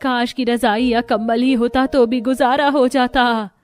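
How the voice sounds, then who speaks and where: A woman's voice: on the high side, steady tone, measured pace, a little mellow, pitched above the performer's own voice, close to an everyday voice. A woman voicing a humble, hardworking young daughter-in-law in a cartoon story, earnest and plaintive. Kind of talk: Hindi cartoon story character.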